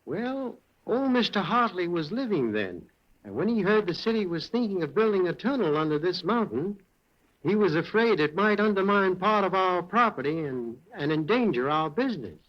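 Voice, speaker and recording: Jumpy stammering mill manager, voice goes up and down a lot, dull old radio sound. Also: male voice, sits high, very animated, normal speed. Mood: uneasy. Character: nervous evasive male mill manager. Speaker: general manager. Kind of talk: 1940s radio acting.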